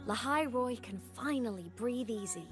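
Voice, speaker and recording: A woman plays a wistful young heroine of a video game story, with a fairly high voice. She speaks sincerely and reflectively over prominent music. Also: expressive, normal speed, lightly acted.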